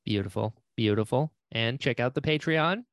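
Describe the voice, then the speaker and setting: A man's voice: medium pitch, moderate pace, dry recording, studio quality. A man hosting a casual interview podcast, speaking enthusiastically and readily affirming his guest. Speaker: podcast host.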